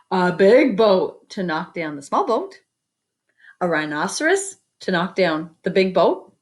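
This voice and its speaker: A woman reads a children's picture book aloud at an unhurried pace. Her pitch moves around in a lively, expressive way, and she speaks directly to listeners as a storyteller would, mixing narration with conversational comments. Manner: expressive, pauses to comment and invite predictions.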